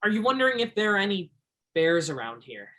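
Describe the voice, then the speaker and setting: An actor playing an earnest, curious twenty-two-year-old backwoods local in a stage play, gentle and simple in manner. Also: mid-range voice, big pitch swings, a little fast. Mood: friendly, awkward.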